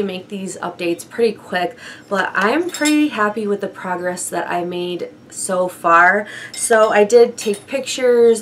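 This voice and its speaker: A young woman with a froggy, hoarse voice from a chest cold, chatting casually through a beauty product update with light laughs and fillers. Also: mid-range voice, fairly bright tone, a little hiss or hum. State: hoarse from bronchitis. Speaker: beauty vlogger.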